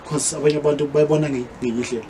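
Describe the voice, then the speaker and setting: A man's voice: a little high, very fast, slight background noise, low-quality recording. A South African man hosting a casual podcast interview, chiming in often and mixing English with Zulu. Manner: relaxed, chimes in a lot, mixes English with Zulu. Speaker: podcast host.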